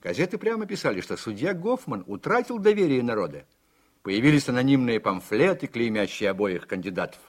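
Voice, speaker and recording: A man narrating a radio play in measured, articulate scripted storytelling. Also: mid-range voice, sing-song.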